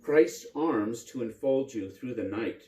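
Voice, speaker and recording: A man who is a priest telling a bedtime story to children in simple, gentle phrasing. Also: mid-range voice, measured pace, distant mic. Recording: roomy echo with faint music.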